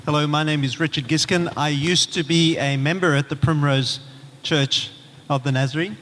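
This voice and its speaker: A man from South Africa, a minister, introducing himself on a panel in a halting way with pauses. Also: a little high, fairly even intonation, a bit bright. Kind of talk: brief self-introduction on a panel.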